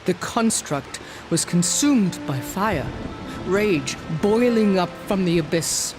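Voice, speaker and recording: Old solemn shaman in a fantasy game, slow n sorrowful, music under it. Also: sits high, animated. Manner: solemn, measured. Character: ancient, sorrowful shaman of a stone people. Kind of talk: video game cutscene acting. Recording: clear music behind the voice. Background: game score.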